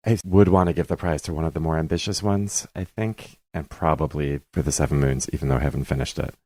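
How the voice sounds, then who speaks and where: A man with a low, deep voice as a podcast guest, recorded dry and close. He discusses books casually, feeling his way through sentences with restarts. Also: steady tone, quick pace, dark tone, no echo.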